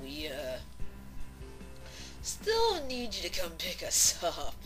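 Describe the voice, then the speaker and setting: A rowdy, boozy young cartoon mare, acted by a woman, slurs and hiccups along slowly in a lowish voice. Her pitch lurches up and down. Music plays underneath in this fan fiction audio drama. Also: huge pitch swings, full character voice. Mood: drunk, sheepish pleading. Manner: slurred, halting, hiccupy drunken delivery. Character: rowdy drunk young mare leaving pleading slurred voicemails.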